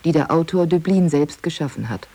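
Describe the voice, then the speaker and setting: A reader gives formal quotations in a radio documentary. The voice is mellow and dark at a middling pitch, with measured delivery. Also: animated. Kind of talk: formal reading of archive quotations.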